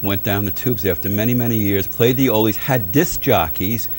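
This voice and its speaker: A man's voice: fairly low pitch, normal speed, a little mellow, a little hiss or hum. An American man interviewing someone in a casual, conversational way, agreeing and echoing as he goes. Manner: agreeing, echoes the other speaker.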